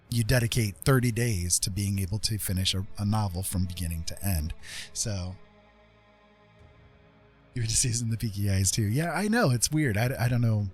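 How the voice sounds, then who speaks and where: Male stream host, lowish voice, casual and rambling explainer, faint music underneath. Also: darkish tone. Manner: meandering explainer, easily sidetracked. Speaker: livestream host and digital artist. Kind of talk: casual chat while drawing. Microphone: stream microphone with windscreen.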